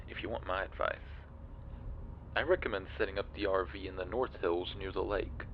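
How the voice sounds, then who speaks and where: An awkward, sardonic monster in a friend's shape, acted by a young man for an audio roleplay. His voice is low and casual, with hesitant, wry phrasing. Some background noise sits behind it. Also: echoey room, lo-fi sound. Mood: casual, dismissive. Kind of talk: audio roleplay acting, spoken to the listener.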